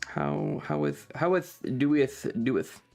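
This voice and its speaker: A man chats casually to his livestream audience at an unhurried pace. His mid-pitched voice often drops into a creaky fry. He rambles playfully, joking with mock indignation, while faint music plays behind him. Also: very animated, darkish tone. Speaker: live streamer and host. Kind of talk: casual livestream chat with viewers.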